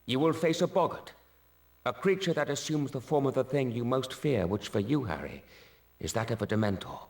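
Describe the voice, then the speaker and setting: A man voicing a gentle, weary male wizard teacher, speaking in a calm, kindly, soft-spoken way in a game cutscene. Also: mid-range voice, normal speed, close to an everyday voice. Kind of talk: video game cutscene acting.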